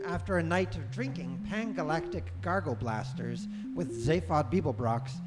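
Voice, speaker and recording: A man playing a wry, erudite guidebook narrator with measured, deadpan delivery in a live radio play. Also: fairly high pitch. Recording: reverberant hall with loud music underneath.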